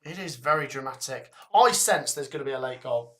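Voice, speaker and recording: A man giving live fan commentary on a match, excitable and shouting at goals, his voice going hoarse and breathless. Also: medium pitch, brisk. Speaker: watchalong host commentator.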